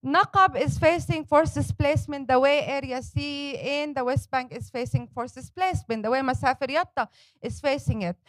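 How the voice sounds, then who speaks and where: A woman's voice: high voice, normal speed, no room sound, low-quality recording. A woman, speaking passionately and emphatically as a panelist and organizer at a forum. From Palestine. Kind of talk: panel talk.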